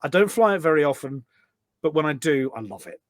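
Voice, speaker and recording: British guy on a podcast, fairly high voice, dry close sound, chatty and self-deprecating. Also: expressive, brisk, dry recording. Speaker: podcast guest, community event organiser. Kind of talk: casual chat. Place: office.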